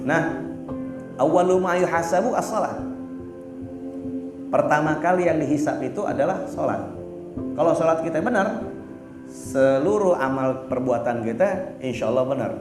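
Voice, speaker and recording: Blunt high-pitched male preacher, echoey hall. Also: normal speed, long pauses. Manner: casual and blunt, teasing, fillers like "apa namanya". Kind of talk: religious sermon. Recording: echoey room with music behind.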